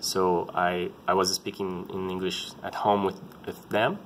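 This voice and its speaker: A man in his thirties with a Brazilian Portuguese accent, speaking English as a second language, telling his story in a halting and earnest way, often restarting to find words. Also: low voice, level tone, fairly bright tone, a little hiss or hum. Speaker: parent sharing his experience. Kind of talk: casual personal vlog talk.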